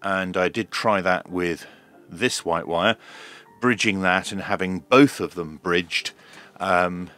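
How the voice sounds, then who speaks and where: Low-pitched man, slow and measured. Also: steady tone, pushed and bright. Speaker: host of a radio repair video. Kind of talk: hands-on tech demonstration commentary.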